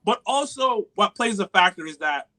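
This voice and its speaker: A loud, animated man from New York with a New York accent, his voice high and bright. He talks casually and profanely as a podcast guest, laughing at his own takes. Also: moderate pace. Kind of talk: casual chat.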